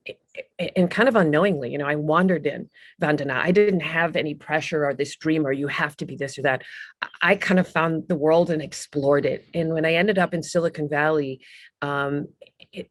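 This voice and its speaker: Lively woman on a video call. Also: deep voice, no room sound. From Ireland. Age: middle-aged. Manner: lively, animated storyteller with frequent ums and restarts. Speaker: guest, business executive. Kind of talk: casual interview talk.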